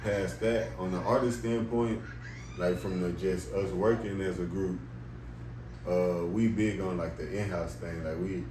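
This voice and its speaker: A young man with a very deep, low voice chats in a relaxed, slang-filled way, often checking in with his listener. He sounds set back from the mic in an echoey room, with steady noise underneath. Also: a little slow, recorded from a distance. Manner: laid-back, slangy, full of "you know what I'm saying". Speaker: rapper guest. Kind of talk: casual interview chat.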